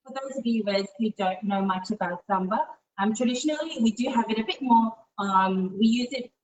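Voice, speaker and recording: A woman's voice: on the high side, moderate pace, noisy background. A young adult woman who grew up in Australia presents a casual cooking demonstration in a home kitchen. She explains each step patiently, with many "um" fillers. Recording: roomy, distant mic.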